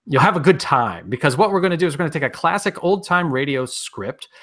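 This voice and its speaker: Male host, casual live-show chat, bright tone, animated pitch. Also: fast.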